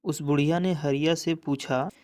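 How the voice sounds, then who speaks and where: A man's voice: on the high side, fairly even intonation, moderate pace, a little mellow. A man narrating a scripted moral story for children as a storyteller.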